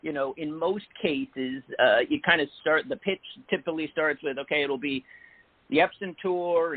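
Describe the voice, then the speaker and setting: Husky-voiced man explaining over a phone line. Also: fairly high pitch. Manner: measured, explanatory, with frequent fillers. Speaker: guest, sports executive. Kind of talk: interview answers. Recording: phone-like narrow band audio.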